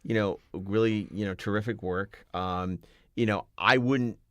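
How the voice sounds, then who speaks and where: A man's voice: fairly low pitch, steady tone, measured pace, fairly bright tone, no echo. A man hosting and moderating a casual podcast panel conversation.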